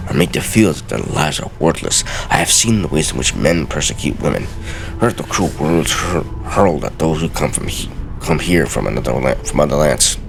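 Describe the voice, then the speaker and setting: A man with a gravelly voice doing a theatrical, deliberate dramatic reading as a let's play host, sometimes stumbling over words. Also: extremely low pitch, noisy background. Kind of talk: dramatic reading of video game journal pages. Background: music.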